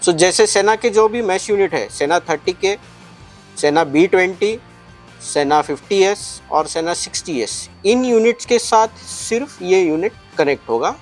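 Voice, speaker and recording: A man gives a casual step-by-step product tutorial, explaining things and mixing in English phrases. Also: high voice. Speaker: product reviewer and shop presenter.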